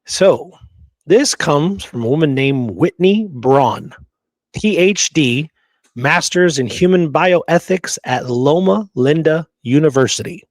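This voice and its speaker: A man's voice: fairly high pitch, lively intonation, slow pace, dry recording. A man co-hosting a discussion show, reading out quotes and often checking in with "okay?" and "right?". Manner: frequent "you know" and checking "okay?", "right?". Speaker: show co-host.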